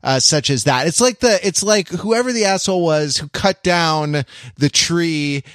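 A man's voice: fairly high pitch, a little slow, a bit bright, no echo, clean studio sound. A man co-hosting a podcast in casual comic banter, riffing in digressions with mock-grand phrasing. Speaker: podcast co-host.

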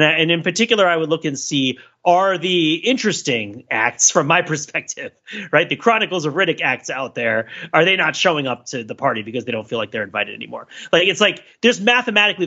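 A man on a podcast giving casual comic analysis in an animated, emphatic, colloquial way. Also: fairly high pitch, fast talker, lo-fi sound. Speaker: podcast panelist.

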